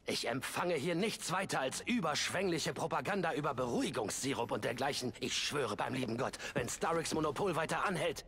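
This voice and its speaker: A man's voice: fairly high pitch, animated, brisk, hardly any pauses, fairly bright tone, a little hiss or hum. A man playing a flustered, earnest young Victorian inventor in a video game, formal and hesitant in his speech. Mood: frustrated. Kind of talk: German video game dub acting.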